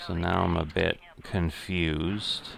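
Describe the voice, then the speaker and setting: A middle-aged man giving unscripted simulation game commentary, thinking aloud in a methodical, halting way. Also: sits low, flat delivery, gravelly, a crowd in the background. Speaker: gaming video host.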